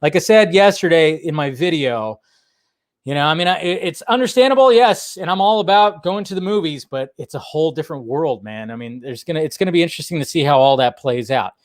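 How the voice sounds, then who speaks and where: A man with a slightly high voice hosts a casual live talk stream. He rambles loosely to his viewers and circles back over his own phrases. The sound is fairly dry and close, with little room on it. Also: animated, a little fast, dry recording. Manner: repeats phrases, talking to viewers. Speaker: host of a live pop-culture talk stream. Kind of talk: casual rambling livestream chat.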